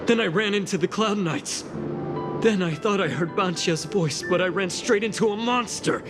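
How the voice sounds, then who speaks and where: A man voicing a harried but kindly fugitive, expressive and dramatic, in an anime game. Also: sits high, normal speed, lightly acted. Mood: weary, exasperated. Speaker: game character voice actor. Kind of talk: scripted anime game dialogue. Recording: loud music behind the voice.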